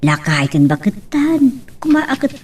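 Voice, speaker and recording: A woman's voice: mid-range voice, big pitch swings, unhurried, slightly rough. A woman playing a plaintive, questioning elderly grandmother in an Ilocano-accented audio drama. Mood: plaintive, doubtful. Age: older. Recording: voice slightly distant from microphone.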